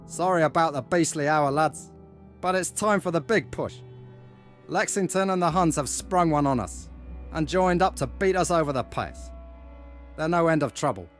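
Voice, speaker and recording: A man's voice: a little high, expressive, normal speed, faint music behind, natural voice. Man acting bluff, rallying expedition explorer. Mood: rousing. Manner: rousing, bluff, old-fashioned turns of phrase. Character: hearty, domineering male explorer rallying his men. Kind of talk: audio drama acting.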